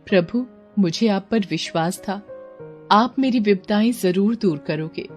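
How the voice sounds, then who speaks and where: A woman voicing a patient, hopeful village wife in an animated moral story, earnest and consoling. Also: mid-range voice, unhurried, natural voice. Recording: loud music under the voice.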